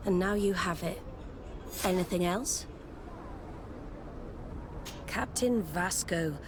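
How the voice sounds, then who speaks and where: An actress playing a commanding, solemn female admiral in a video game, speaking formally and deliberately. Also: medium pitch, noisy background, close to an everyday voice. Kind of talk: dramatic game dialogue.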